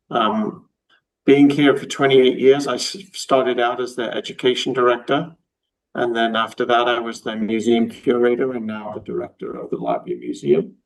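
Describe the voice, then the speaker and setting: A man in his fifties with a softened Liverpool English accent, speaking conversationally as a museum director being interviewed. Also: mid-range voice, creaky, slightly rough, poor audio. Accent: English, from Liverpool, softened by years in America. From Liverpool, England. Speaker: guest, museum and library director.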